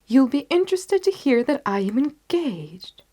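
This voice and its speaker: A woman reading the part of a confident, determined gentleman detective in a storybook, with emphatic, articulate delivery. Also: high voice, big pitch swings, a little slow, voice pushed up. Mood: amused, confident. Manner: emphatic, articulate, self-assured.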